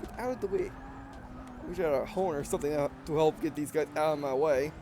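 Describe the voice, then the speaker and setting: A man's voice: a little high, a little fast, background noise. A man with an accent mixing Southern and Russian features, rambling and correcting himself as he gives casual commentary on a racing game he is playing. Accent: mixed Southern and Russian. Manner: rambling, self-correcting, excitable reactions. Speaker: let's play gamer.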